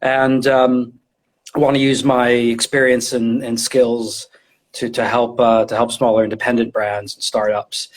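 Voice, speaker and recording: A middle-aged man with a medium-pitched voice talks as a guest expert in an interview. He explains informally and conversationally. He often repeats a word or two while he works out the phrase. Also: low-quality recording. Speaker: guest, retail design and visual merchandising specialist.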